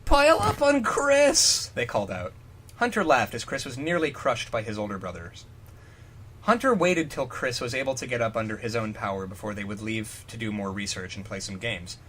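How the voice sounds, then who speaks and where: A man's voice: fairly low pitch, expressive, a little fast, a bit bright, slight background noise. A man reading fan fiction aloud in a mock-dramatic style, breaking into jokey, profane casual commentary.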